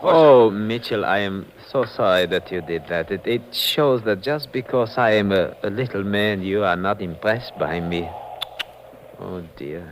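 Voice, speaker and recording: An old, noisy radio drama recording of a small, sadistic villain acted by a man. His voice sits a little low. He is courteous and plaintive, turning meek apologies into menace. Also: unhurried, natural voice. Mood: plaintive mock apology. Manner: polite, plaintive, fussily menacing. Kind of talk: 1950s radio drama acting.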